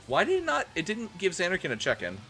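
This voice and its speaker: A man's voice: sits high, huge pitch swings, fast, pushed and bright. A man giving a comic dramatic reading for a live stream, theatrical and often breaking off into amused asides. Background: music.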